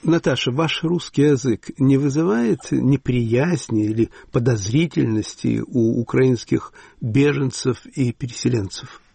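Male interviewer, gravelly and husky, asking questions in a dry, close recording. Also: medium pitch, measured pace, dark tone, no echo.

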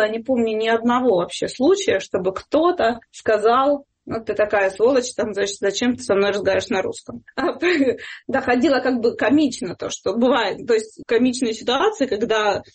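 Husky, higher-pitched woman interviewee. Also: normal speed. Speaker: charity fund volunteer being interviewed. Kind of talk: spoken interview answers with anecdotes.

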